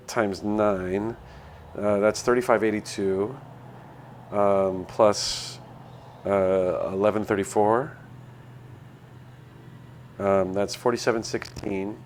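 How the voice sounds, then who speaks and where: A man live-streaming a visual novel, reading text aloud and chatting in a self-deprecating way as he thinks out loud. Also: lowish voice, a little hiss or hum. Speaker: game streamer.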